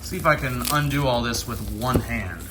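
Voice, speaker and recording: A man's voice: medium pitch, moderate pace, noisy background. An American man giving casual hands-on unboxing commentary in an informal, enthusiastic way. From Ohio, United States. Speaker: vlogger. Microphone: handheld camera.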